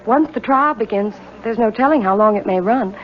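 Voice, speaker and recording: An actress plays a possessive young sweetheart in an old radio play, with a fairly high voice and a pressing, insistent manner. The broadcast sounds a little muffled. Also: female voice, a little fast, natural voice. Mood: brisk, probing. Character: boss's daughter, insistent, possessive young lover. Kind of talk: 1970s radio drama acting.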